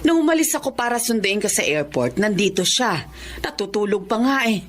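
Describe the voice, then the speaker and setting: Actress as a lively, chatty aunt. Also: female voice, medium pitch, fairly quick, natural voice. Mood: reassuring. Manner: animated, reassuring. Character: chatty, good-natured female cousin and aunt. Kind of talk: radio drama acting.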